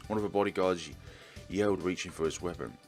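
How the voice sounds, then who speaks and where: Guy doing a visual novel let's play, reads it out and chats, kinda low voice, faint music. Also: quick pace. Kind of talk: visual novel read-aloud with casual commentary.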